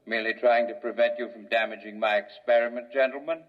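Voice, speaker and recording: A man playing a calm, menacing male scientist villain in a 1950s radio drama, old dull recording. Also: mid-range voice, quick pace, natural voice. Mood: condescending.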